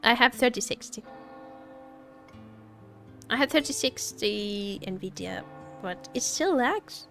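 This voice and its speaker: Female streamer, slightly high-pitched, casual and teasing, rambling reactions, music underneath. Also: expressive, takes it slowly, creaky, pauses often, a bit bright. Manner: playful, teasing, rambling, repeats phrases. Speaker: VTuber streamer. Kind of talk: casual livestream game chat and reactions. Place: indoor home streaming setup.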